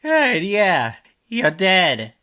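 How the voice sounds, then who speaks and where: Smug sassy cat for a game, slow sarcastic drawl, voice swoops everywhere. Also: female voice, on the low side, wildly swooping pitch, sharp tone, exaggerated voice. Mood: smug, taunting. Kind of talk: video game character voice lines.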